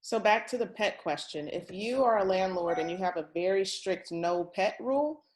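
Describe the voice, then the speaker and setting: A woman hosting a real estate session, giving measured, explanatory advice and putting questions to a guest conversationally. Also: medium pitch, animated, low-quality recording.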